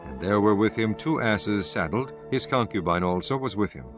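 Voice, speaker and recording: A male narrator reads scripture from a script. His pitch is on the low side and his delivery is solemn and formal, with quiet music underneath. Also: lively intonation, moderate pace.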